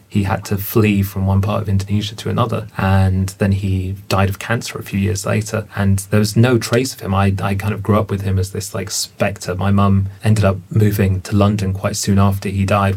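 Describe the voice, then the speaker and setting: A man's voice: fairly low pitch, vocal fry, a little mellow, breathy edge. A man speaking as a panel guest, reflective and searching, with casual fillers. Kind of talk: panel discussion.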